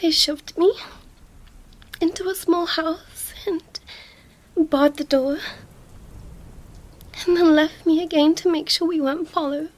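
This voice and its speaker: A woman's voice: extremely high pitch, fairly even intonation. A woman playing a young princess, commanding yet tender and distraught, in an emotional ASMR roleplay monologue. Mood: shaken, tender. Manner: hushed, breathy, halting, emotional delivery.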